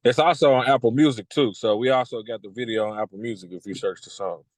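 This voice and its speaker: A man's voice: mid-range voice, quick pace, a little mellow, dry recording, low-quality recording. Laid-back man in his thirties from Kentucky. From Lexington, Kentucky. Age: 30s. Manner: laid-back, repeats "you know what I'm saying". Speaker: music artist guest. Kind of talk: casual interview chat.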